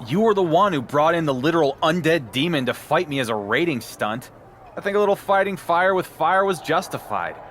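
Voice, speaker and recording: An actor playing a confident, sardonic champion wrestler in a video game cutscene. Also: male voice, fairly high pitch, expressive, a little fast, a bit bright, a little hiss or hum. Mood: defiant. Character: defiant, dry-witted male champion wrestler.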